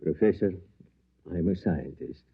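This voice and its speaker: An actor playing a detached, skeptical scientist in a 1950s radio drama, measured and dryly clinical. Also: male voice, low-pitched, expressive, breathy edge, full character voice. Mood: pensive. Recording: tinny, noisy old radio recording.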